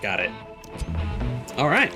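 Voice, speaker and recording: A man's voice: mid-range voice, wildly swooping pitch, takes it slowly. A man giving casual live commentary as he streams a video game. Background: game music.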